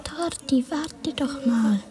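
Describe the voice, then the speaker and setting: A woman's voice: high-pitched, takes it slowly, far from the mic, a crowd in the background. A woman whispering the part of a clever small male gnome with glasses, in a questioning way. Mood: curious.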